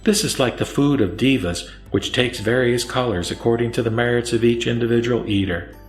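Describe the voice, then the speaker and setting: A man voicing a calm, authoritative male spiritual teacher, solemn and instructive, in a scripture audio drama. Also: mid-range voice, a little fast, echoey room, faint music behind, natural voice. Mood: calm authority.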